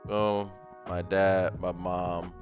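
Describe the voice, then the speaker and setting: Young memphis dude rambling about his life while he draws, kinda low voice, faint music behind. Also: level tone, drawn-out words, muffled recording. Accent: Memphis Southern. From Memphis, Tennessee. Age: young adult. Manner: laid-back, rambling, adds drawing sound effects. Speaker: gaming content creator. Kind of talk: casual storytelling while drawing.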